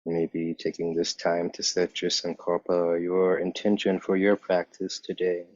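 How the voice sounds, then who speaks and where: Guy teaching yoga meditation, calm n really slow, lots of pauses, kinda muffled dry recording. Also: low voice, no echo. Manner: calm, measured, with pauses between short phrases. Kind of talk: guided yoga meditation instruction. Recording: dull, muffled lo-fi sound.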